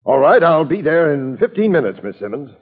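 A gruff homicide captain in an old radio crime drama, brisk and businesslike. His voice is husky, on a narrow, reverberant recording. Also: fairly high pitch, big pitch swings, full character voice. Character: gruff but polite homicide police captain. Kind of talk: 1940s radio drama acting.

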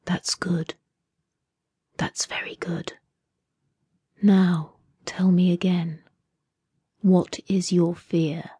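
A calm, menacing male captor breathes out his threats in a hushed whisper, voiced by a woman. The tone is dark and mellow, the delivery unhurried and emotionless, close and dry. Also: medium pitch, breathy edge, no room sound.

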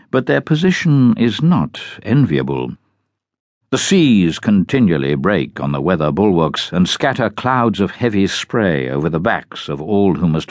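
Deep-voiced man reading expressively. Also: dry recording. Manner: measured, literary, expressive delivery. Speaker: audiobook narrator. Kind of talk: scripted dramatic reading of a journal.

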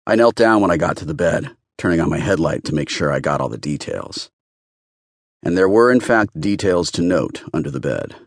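A man's voice: deep voice, very animated, moderate pace, no echo, clean studio sound. A man narrating first-person fiction as a scripted audiobook reader.

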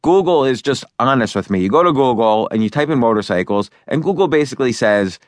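A man's voice: mid-range voice, lively intonation, fast, no room sound, clean studio sound. A man narrating his own book in a loose, conversational way, with fillers and restarts as he talks over each point. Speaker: author and narrator.

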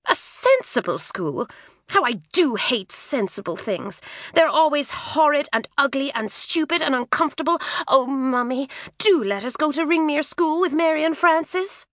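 Woman doing a high bouncy sulky teen girl, petulant and whiny, storybook read. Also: animated, moderate pace, a bit bright, dry recording, clean studio sound. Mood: pleading. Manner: petulant, pleading. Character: sulky hot-tempered teenage schoolgirl twin.

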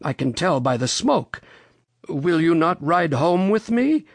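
A reader voicing a genial, excitable old gentleman rabbit from a storybook, polite and given to exclaiming. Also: mid-range voice, measured pace, dry recording, pitched above the performer's own voice. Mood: delighted. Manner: polite, exclamatory, wondering aloud.

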